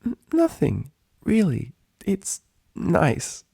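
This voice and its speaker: Man putting on a timid high-voiced female pony. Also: wildly swooping pitch, extremely slow, gravelly, dark tone, slightly rough, exaggerated voice. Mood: timid, apologetic. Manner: hesitant, apologetic. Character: timid, soft-spoken gentle female pony.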